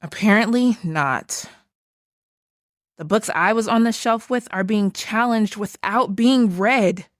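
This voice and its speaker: A woman's voice: mid-range voice, slow pace, dry recording, clean studio sound, close to an everyday voice. A woman playing a frank, concerned book puppet in a theatrical puppet show, speaking in an earnest, plain way. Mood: urging, firm. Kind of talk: theatrical puppet musical acting.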